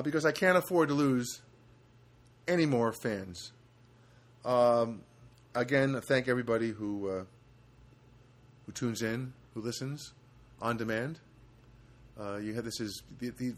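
Rambling man hosting a podcast. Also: mid-range voice. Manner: rambling, halting with many fillers. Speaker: podcast host. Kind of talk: casual solo podcast monologue. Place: studio apartment.

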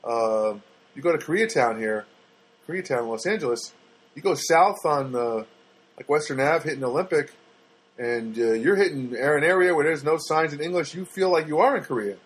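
Husky, animated rambling guy. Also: on the high side, normal speed. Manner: rambling, sarcastic, many fillers and restarts. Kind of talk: casual storytelling monologue.

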